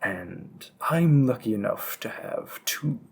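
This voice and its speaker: Slow, menacing centuries-old vampire played by a man. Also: medium pitch, expressive, breathy edge, heavily acted. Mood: musing, condescending. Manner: deliberate, pedantic, condescending. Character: centuries-old male vampire, refined, menacing, protective husband. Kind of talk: scripted roleplay monologue addressed to the listener.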